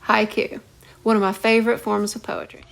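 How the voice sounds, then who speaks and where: A woman English teacher giving a video lesson to young students in an animated, conversational way with emphatic repetition. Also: medium pitch, normal speed, creaky.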